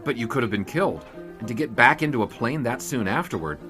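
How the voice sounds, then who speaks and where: An actor playing a dry, wordy American newspaper sportswriter of the 1920s, narrating and talking in an audio drama. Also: male voice, mid-range voice, expressive, quick pace, pushed and bright, faint music behind. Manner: dry, wry, formal wordy phrasing. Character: wry, wordy 1920s newspaper sportswriter, queasy reluctant flyer. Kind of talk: first-person narration and dialogue in period audio drama.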